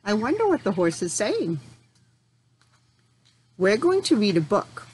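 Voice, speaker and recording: A middle-aged woman leading a lesson for young children, asking simple questions, pausing for answers and encouraging them. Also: medium pitch, very animated, darkish tone, husky. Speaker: teacher.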